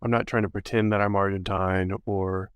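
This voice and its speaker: A man's voice: deep voice, level tone, normal speed, clear, resonant tone, no room sound. A male podcast host chatting casually and rambling, with many fillers. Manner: rambling, full of "you know" and "like". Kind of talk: casual interview chat.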